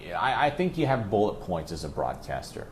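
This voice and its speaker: A man's voice: on the low side, sing-song, a little fast, a little hiss or hum. A man who works as a play-by-play announcer, talking conversationally and at length as an interview guest, pressing his points with emphatic repetition.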